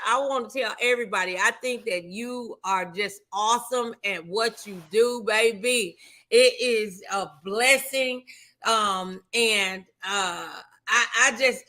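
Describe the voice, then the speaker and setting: A woman's voice: fairly high pitch, sharp tone. A woman hosting a casual live talk show, chatting in a folksy, informal and affectionate way.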